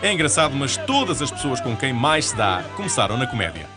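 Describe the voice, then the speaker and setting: A man with a European Portuguese accent reading scripted narration for a TV channel promo in a smooth, even announcer delivery. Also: mid-range voice, brisk. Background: music bed.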